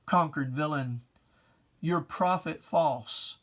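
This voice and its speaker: A person giving a dramatic, solemn reading of religious verse, declaiming emphatically with deliberate pauses and archaic preacherly diction. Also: medium pitch, a bit bright. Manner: archaic preacherly diction, addressing a foe directly.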